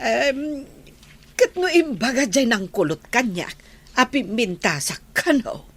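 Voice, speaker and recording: Woman playing a high-voiced, huffy Ilocano villager. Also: lively intonation, measured pace, fairly bright tone, full character voice. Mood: miffed. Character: vain, quick-to-huff village woman in comedy. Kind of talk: comic radio-drama acting.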